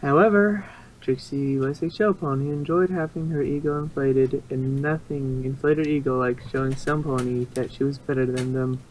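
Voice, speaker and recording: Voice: medium pitch, a little mellow, low-quality recording. Storyteller with some background noise. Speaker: narrator. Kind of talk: story narration, amateur fan fiction reading.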